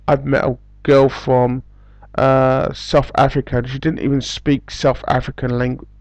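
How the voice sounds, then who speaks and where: A man's voice: medium pitch, creaky, darkish tone, no room sound, muffled recording. A man from England with an English accent, giving rambling, casual advice about teaching jobs, full of fillers and restarts. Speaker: English school recruiter.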